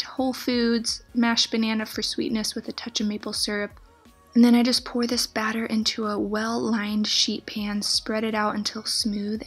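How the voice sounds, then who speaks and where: A woman's voice: a little high, breathy edge. A young woman hosting a cooking channel, giving a chatty, enthusiastic recipe voice-over. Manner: upbeat, chatty, enthusiastic about food. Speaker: plant-based cooking channel host. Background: soft background music.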